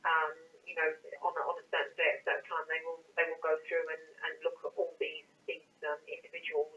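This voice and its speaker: A woman's voice: sits low, monotone, fast, pushed and bright. A woman handling a call for an office, explaining carefully and hesitantly with many stammered repeats over a distant, tinny telephone line. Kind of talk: explanatory phone conversation.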